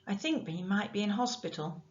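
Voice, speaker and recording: A woman's voice: medium pitch, expressive, fairly quick, voice pushed up, close to an everyday voice. A woman voicing a small, timid boy who speaks hesitantly, in a dull lo-fi recording.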